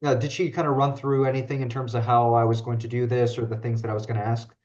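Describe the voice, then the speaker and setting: A man's voice: medium pitch, flat delivery, fast, darkish tone, lo-fi sound. A man hosting a podcast interview, easygoing and casual, often repeating short affirmations. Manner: easygoing, repeats "gotcha" and "cool".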